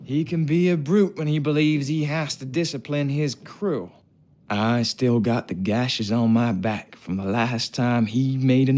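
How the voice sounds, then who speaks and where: A man's voice: medium pitch, a little mellow, natural voice. A man acting a sardonic, bossy old-time sailor. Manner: taunting, colloquial, condescending. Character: gruff, sardonic adult male ship's craftsman and sailor, bossy and wary. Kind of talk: video game character acting.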